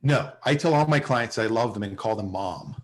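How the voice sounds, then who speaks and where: A man's voice: on the low side, expressive, normal speed, natural voice. An actor playing a self-important, sarcastic male salesman in a sitcom table read, recorded on a video call. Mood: dry, sarcastic. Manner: sarcastic, wheedling, hesitant.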